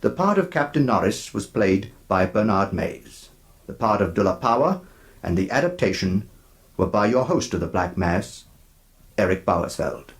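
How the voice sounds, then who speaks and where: A man hosting a radio drama, reading a scripted announcement in a measured, formal manner. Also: lowish voice, very animated.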